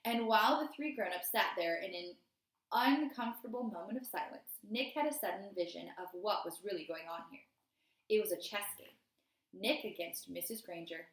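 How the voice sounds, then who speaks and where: A woman reading a children's story aloud as a teacher would, deliberate and expressive. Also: medium pitch. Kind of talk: storybook read-aloud narration. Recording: roomy, echoey indoor sound.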